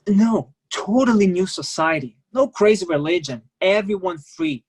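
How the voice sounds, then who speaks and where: Earnest ship worker. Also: female voice, mid-range voice, expressive, darkish tone, husky. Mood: earnest, hopeful. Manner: halting, simple non-native phrasing. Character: idealistic young Brazilian cruise-ship worker, earnest and secretive. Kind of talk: dramatic staged play reading.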